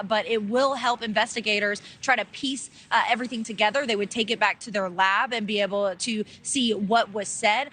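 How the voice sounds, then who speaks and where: A woman's voice: on the high side, bright, forceful tone. A woman delivering a live news report as a field reporter. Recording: outdoor live report with background noise.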